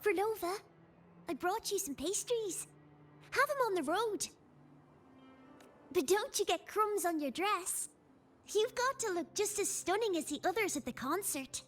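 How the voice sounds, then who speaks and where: A woman's voice: very high-pitched, animated, measured pace, pauses often, a bit bright, soft background music, full character voice. A woman voicing a doting, fussy young girl in a video game. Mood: cheerful, fussing.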